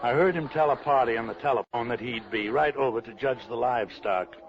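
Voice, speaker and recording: A man plays a suave, sly older crook in a vintage radio crime drama. He speaks in pompous, flowery phrases at a middle pitch. The old recording is dull and lo-fi. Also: brisk, a little hiss or hum, natural voice. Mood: suave, smug. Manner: pompous, ornate diction. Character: smooth, pompous, sly older male con-man thief.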